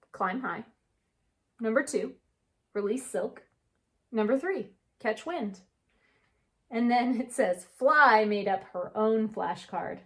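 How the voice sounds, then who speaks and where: A woman teacher reading a children's storybook aloud with chatty asides, putting questions to young listeners and answering them. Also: a little high. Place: indoor reading nook at home.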